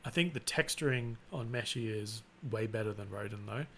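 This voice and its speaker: Gravelly man chatting on a live stream. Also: on the low side, moderate pace, slight background noise. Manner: self-described monotone, rambling with restarts. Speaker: live-stream host and content creator. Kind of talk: casual live-stream chat.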